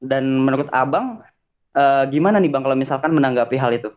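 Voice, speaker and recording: A young man hosting a podcast, asking halting questions full of fillers. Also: medium pitch. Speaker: podcast host. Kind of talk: casual podcast interview. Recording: dull lo-fi podcast recording.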